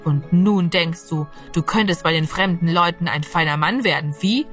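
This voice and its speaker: A woman's voice: on the low side, moderate pace, soft background music, lightly acted. A woman voicing a menacing, gloating man who bullies and threatens a boy in an audiobook. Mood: threatening. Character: menacing, gloating adult male villain bullying a boy. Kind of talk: audiobook character voice.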